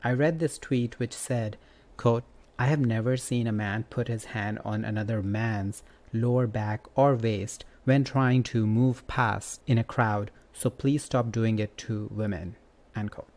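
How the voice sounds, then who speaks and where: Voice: medium pitch, steady tone, a little slow, a little mellow. A podcast host chatting casually about a story, with an easygoing readiness to laugh.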